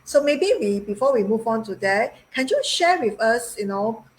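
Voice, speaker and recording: A woman's voice: fairly high pitch, animated, moderate pace, slight background noise, low-quality recording. Upbeat woman hosting a live stream. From Singapore. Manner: upbeat, effusive, with fillers like "uh" and "um". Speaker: host.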